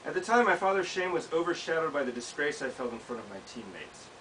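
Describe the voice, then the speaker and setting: Bright-voiced American man reading, recorded at distance. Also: on the high side, sing-song, fast talker. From United States. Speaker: author reading from own work. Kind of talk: live reading of a personal essay. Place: small bookshop event, indoors. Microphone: camera several feet away. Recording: distant mic, hissy background noise.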